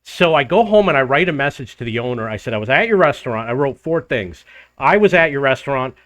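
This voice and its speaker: An older man, host of his own travel channel, telling a rambling personal story to the camera in a loud voice. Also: mid-range voice, animated, fast talker, no echo. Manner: rambling, restarts and loops back in the story. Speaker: vlogger living abroad.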